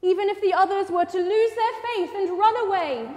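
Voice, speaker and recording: A woman's voice: very high-pitched, fairly quick, a little mellow. A woman playing an impassioned, loyal male disciple, fervent and insistent, in a dramatic scripture reading. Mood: fervent, defiant. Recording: echoey church.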